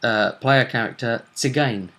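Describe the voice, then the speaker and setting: A man's voice: mid-range voice, measured pace, fairly bright tone. A man hosting a tabletop role-play show, casually talking through match-ups and often rephrasing as he explains.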